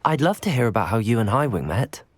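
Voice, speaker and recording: A man playing a kind, supportive teenage wizard, speaking gently and politely in video game dialogue. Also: medium pitch, big pitch swings, fast, breathy edge. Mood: sympathetic, curious.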